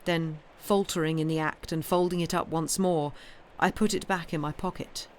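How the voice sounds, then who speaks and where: A woman reading a classic novel aloud in an expressive, literary narrating style. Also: low-pitched, moderate pace. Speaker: reader, streamer. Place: live stream. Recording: clearly noisy background.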